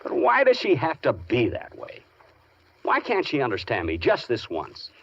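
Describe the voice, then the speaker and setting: A nervous, henpecked radio-drama husband. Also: male voice, mid-range voice, huge pitch swings, normal speed, a bit bright, full character voice. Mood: plaintive. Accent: American. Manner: hesitant, plaintive. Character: Hercules, mild, nervous, henpecked piano tuner. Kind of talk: 1940s radio drama acting with first-person narration. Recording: dull vintage radio recording, music behind.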